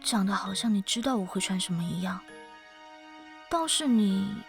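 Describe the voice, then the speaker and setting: A woman playing an ageless, gentle and wistful ferrywoman of souls in an audio drama, speaking in a reflective, poetic way with a quiet teasing edge. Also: medium pitch, lively intonation, moderate pace, gravelly. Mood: dry, teasing. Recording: studio voice over background music.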